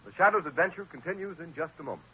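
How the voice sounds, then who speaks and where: A man's voice: fairly high pitch, animated, very fast, over loud music. A male announcer reading a persuasive sponsor message on an old radio program. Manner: persuasive, emphatic. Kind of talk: radio commercial read. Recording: dull lo-fi old radio recording.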